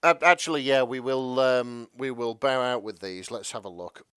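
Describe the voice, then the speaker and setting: A man's voice: mid-range voice, normal speed, a bit bright, clear, resonant tone, dry recording, clean studio sound. A British man co-hosting a podcast, trading casual comedic banter. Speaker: podcast co-host.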